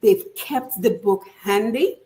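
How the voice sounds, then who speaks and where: A woman author speaking as a guest in an online interview over a video call, earnest and expressive. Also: mid-range voice, slow. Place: home in Georgia.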